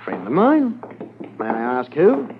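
An actor playing an evasive gold smuggler in a 1950s radio drama, polite, formal and full of self-justification. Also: male voice, on the high side, wildly swooping pitch, measured pace, background noise, exaggerated voice. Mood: wary. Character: smooth-talking, evasive male gold smuggler under questioning. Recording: tinny 1950s radio transcription.

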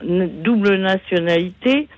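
A woman in her sixties phoning in to a radio show, talking haltingly with many fillers and pauses. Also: medium pitch, a little hiss or hum. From born in Canada, raised partly in Africa. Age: 60s. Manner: hesitant, halting, many fillers, slow and sorrowful. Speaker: caller. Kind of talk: phone call to a radio show. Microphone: telephone.

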